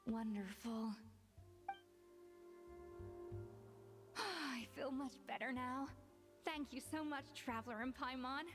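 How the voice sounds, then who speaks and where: A woman's voice: sits high, a little slow, in a large hall, over music. A woman voicing a proud, exacting young female chef in a video game, emphatic and theatrical. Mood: savoring, relieved.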